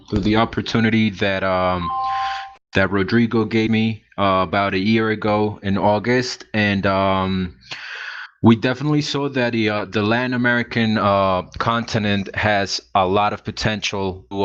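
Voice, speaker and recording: Low, flat man with halting speech. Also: no echo, muffled recording. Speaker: ambassador. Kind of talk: casual online discussion.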